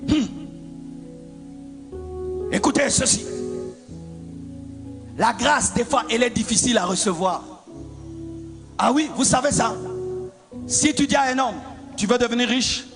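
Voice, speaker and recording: A man from the Congo preaching a fervent sermon as a pastor, repeating lines and shouting in a half-sung cadence. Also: very high-pitched, unhurried, pauses often. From Democratic Republic of the Congo. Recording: preaching over loud music.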